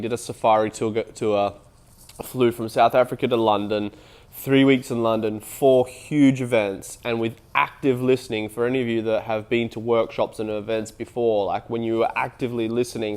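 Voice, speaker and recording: A man's voice: lowish voice, smooth. Nasal man with a head cold. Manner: rambling, with frequent restarts and fillers. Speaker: online business vlogger. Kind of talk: casual motivational talk to camera.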